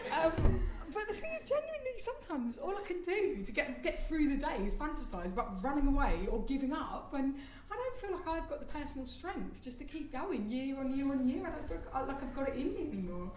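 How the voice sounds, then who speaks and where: A woman's voice: sits high, big pitch swings, brisk, noisy background. A woman in her thirties doing stand-up comedy, chatty and self-deprecating with apologetic asides, recorded live on a theatre stage. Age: 30s. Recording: echoey venue, heard from a distance.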